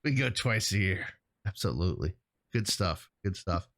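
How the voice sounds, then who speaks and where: Low-voiced man, rambling casual co-host. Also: animated, normal speed, vocal fry, fairly bright tone. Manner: rambling, self-correcting. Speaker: co-host of a hazardous materials show.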